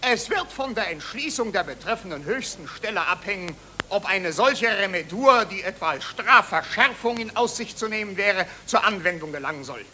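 Ein Schauspieler spielt in einem deutschen Hörspiel der 1940er einen wichtigtuerischen, nationalistischen Psychiater, pompös und theatralisch deklamierend. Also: male voice, high-pitched, animated, moderate pace, bright, forceful tone, exaggerated voice. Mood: officious, curt. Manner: pompous, officious, theatrical oratory. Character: bombastic, nationalist German psychiatrist, self-important official. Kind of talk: 1940s German radio play, declamatory speech. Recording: noisy 1940s radio recording.

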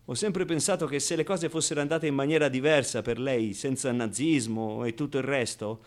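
An actor playing a naive, wistful, streetwise ten-year-old orphan in an expressive, halting radio monologue. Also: male voice, medium pitch, close to an everyday voice. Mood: wry, confiding. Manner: expressive, halting, conversational storytelling with rhetorical tags. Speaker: solo actor.

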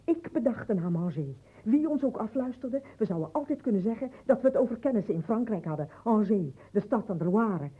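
An actress playing an insistent, accusing wife in a radio play, speaking in an urgent, repetitive way. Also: female voice, a little high, animated, fairly quick, hardly any pauses, slightly rough. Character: insistent, accusing wife waiting obsessively for news. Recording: muffled old radio play recording.

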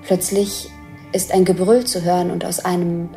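A watchful woman describing a fairy-tale scene in a radio drama. She is plain and observational, in a lowish, creaky, soft-toned voice over faint music. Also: flat delivery, moderate pace. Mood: ominous.

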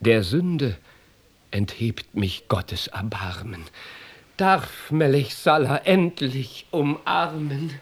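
Pious lovestruck knight, torn by conscience, slow declamatory verse for radio drama, dry close sound. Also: male voice, medium pitch, lively intonation, slightly rough, dry recording, heavily acted. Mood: anguished joy. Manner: declamatory, solemn, fervent.

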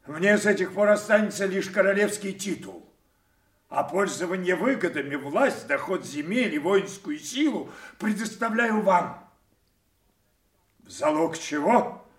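An actor playing a proud, hot-tempered old king in a theatrical audio drama, grand, commanding and declamatory. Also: fairly high pitch. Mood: regal. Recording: roomy echo, somewhat distant from microphone.

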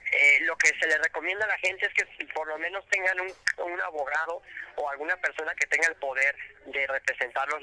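Male radio guest, slightly high and very bright voice, dry sound, patient explainer who stumbles on fillers. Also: dry recording, low-quality recording. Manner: explanatory, halting with many fillers. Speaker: immigration rights guest. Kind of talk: radio interview answers.

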